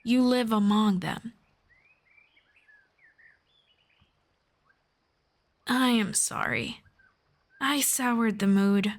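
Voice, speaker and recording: Woman acting as long-lived elf friend, gentle, earnest, soft-spoken, lightly high pitch, very unhurried delivery, ASMR audio roleplay. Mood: concerned. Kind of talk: slow scripted ASMR roleplay.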